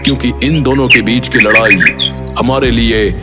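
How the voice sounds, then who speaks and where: A man voicing a wise old monkey chief in an animated fable, speaking gravely and formally in deliberate phrases. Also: mid-range voice, close to an everyday voice. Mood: grave warning. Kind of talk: Hindi animated fable dialogue. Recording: loud music behind the voice.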